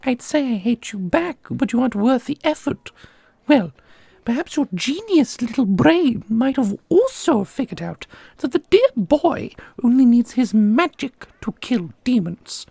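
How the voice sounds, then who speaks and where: Lady doing a ancient sassy custodian teacher, high creaky voice, takes her time, theatrical asmr roleplay. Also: very animated, unhurried, dark tone, dry recording, full character voice. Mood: sarcastic. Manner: theatrical, teasing, instructive. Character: millennia-old custodian mentor, doting on pupil, witheringly sarcastic.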